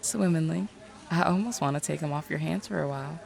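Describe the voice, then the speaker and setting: Woman playing a low, heavily creaky, flirty detective. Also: fairly quick, a little mellow. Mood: sly teasing. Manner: playful, sly, drawling endearments. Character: flirtatious, teasing woman detective and seer, self-assured. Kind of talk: audio roleplay drama acting.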